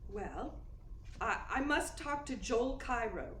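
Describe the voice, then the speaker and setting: A scheming young femme fatale acted by a woman from a noir radio play, all demure hesitation and anxious pleading. Her voice is high and very lively in pitch. It is heard in a roomy, echoing space. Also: slow. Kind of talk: radio play acting in 1940s noir manner. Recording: roomy, echoey sound with faint noise.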